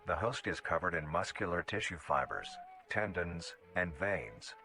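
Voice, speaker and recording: A man's voice: low-pitched, animated, unhurried, pushed and bright. A man reading scripted documentary-style narration in a formal, detached, instructional manner. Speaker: narrator of an analog horror video. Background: music.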